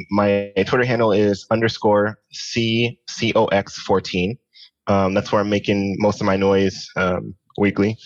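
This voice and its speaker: A man's voice: on the low side, no echo, muffled recording. A man in his thirties chatting casually and earnestly as a guest in an interview. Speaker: podcast guest, tech business founder.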